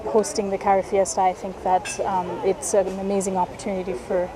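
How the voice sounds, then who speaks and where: A woman's voice: mid-range voice, flat delivery, fairly quick, background noise. A Haitian woman answering interview questions thoughtfully, with a few restarts. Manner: thoughtful, some restarts, hopeful.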